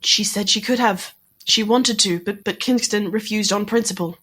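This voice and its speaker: A woman's voice: mid-range voice, moderate pace, fairly bright tone, slightly rough. A woman playing a young English agent, urgent and pleading in an argument, in an audio drama.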